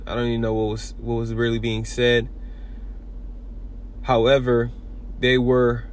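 A man giving an informal sermon video, talking in halting bursts with many repeats and restarts. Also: medium pitch, slight background noise. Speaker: preacher.

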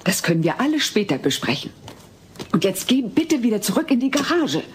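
A woman's voice: a little high, sing-song, a little fast. A woman playing a firm, exasperated mother in a dubbed sitcom audio play, stern and indignant. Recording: slightly noisy old cassette dub.

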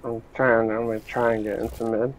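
A man on team voice chat, often clearing his throat between game callouts. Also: medium pitch, flat delivery, a little fast. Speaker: teammate. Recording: noisy voice chat line.

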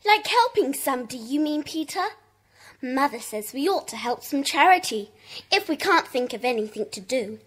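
A woman's voice: high-pitched, sing-song, moderate pace, a bit bright. A woman playing a blunt, opinionated schoolchild in a children's audio drama, matter-of-fact in manner. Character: blunt, critical schoolchild in a club.

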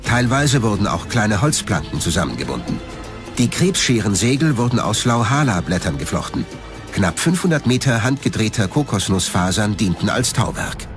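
A man's voice: fairly low pitch, very animated, moderate pace, over music. A man reading scripted narration as the narrator of a documentary.

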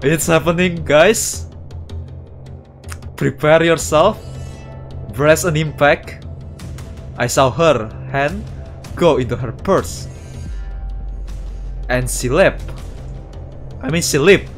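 A man streaming as a VTuber, reading a visual novel aloud and reacting live in an excitable, dramatic way. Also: fairly high pitch, slow, long pauses, faint music behind.

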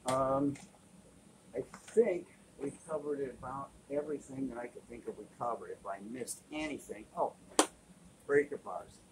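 A man's voice: medium pitch, unhurried, noisy background. A man in his fifties, host of a sailing channel, casually explaining tools in a plain, practical way with frequent fillers, recorded on a webcam in a cluttered indoor workshop.

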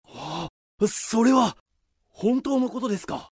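An actor playing a loyal, humble samurai retainer in a radio drama, speaking formal, respectful archaic language. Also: male voice, high-pitched, expressive, slow pace, husky, no echo, full character voice. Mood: astonished.

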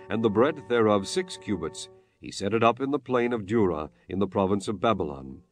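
A man narrating scripture from a script in a measured, formal manner. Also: on the low side.

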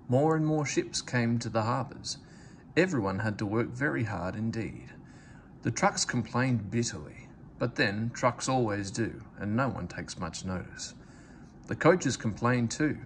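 A man's voice: mid-range voice, a little hiss or hum. A man narrating a children's storybook expressively, as scripted read-aloud. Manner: expressive, acts out character voices.

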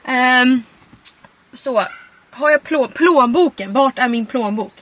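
A woman's voice: high voice, fairly bright tone. A woman, chatting casually and informally to camera as a vlogger. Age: 20s. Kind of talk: casual chatty road trip vlog. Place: in and around a camper van and car. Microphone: handheld vlog camera. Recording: clear background noise, camera audio.

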